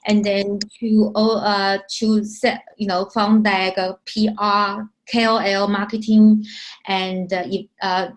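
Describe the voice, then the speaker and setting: Woman from Taiwan, company founder, mid-pitched voice, loose searching answers full of fillers. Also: low-quality recording. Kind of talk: interview answers.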